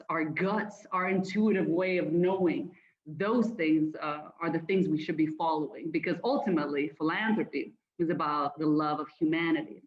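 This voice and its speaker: A woman gives heartfelt welcoming remarks over a video call. Her voice sounds roomy and a bit muffled, as on a lo-fi laptop connection, and she talks in a warm, conversational way with occasional "uh"s. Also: fairly low pitch. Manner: earnest, heartfelt, conversational with fillers. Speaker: foundation staff speaker. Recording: echoey, dull video-call audio.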